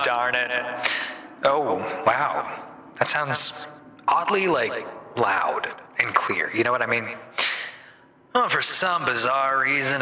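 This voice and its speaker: Man acting a very sharp, bewildered, grumbling character. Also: mid-range voice, full character voice. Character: grumbling male game character under mind control. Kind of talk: dramatic reading of video game dialogue. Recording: echoey hall-like reverb.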